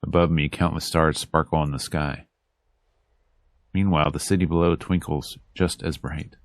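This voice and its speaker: A man reading a visual novel aloud as a let's player, in measured, dramatic storytelling narration over game music. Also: rumbling low, steady tone, no echo.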